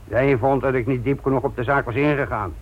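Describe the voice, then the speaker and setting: An actor playing a sardonic, gruff older police instructor in a Dutch radio play, patronising and deliberate in manner. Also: male voice, medium pitch, fairly even intonation, lightly acted. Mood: gruff, defensive. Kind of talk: Dutch radio drama acting. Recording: thin, narrow-band old radio recording.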